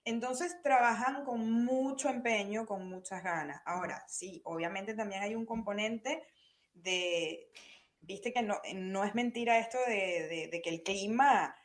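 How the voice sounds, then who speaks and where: A woman's voice: mid-range voice, a little slow. A young adult woman with a Venezuelan Spanish accent, speaking as a podcast guest, thoughtful and hesitant with frequent fillers and restarts. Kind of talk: conversational interview.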